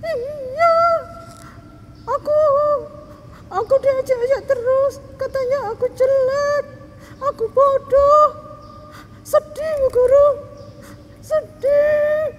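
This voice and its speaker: A woman voicing an upset little girl pupil in a Sunday school skit, with a high childlike voice and drawn-out words. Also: fairly even intonation, in a large hall, a little hiss or hum. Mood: sad.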